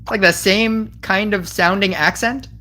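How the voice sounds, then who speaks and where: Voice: medium pitch, steady tone, normal speed, bright, forceful tone. A game streamer chatting casually over mobile gameplay, giggly and rambling with lots of fillers. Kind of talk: casual gameplay commentary with chat.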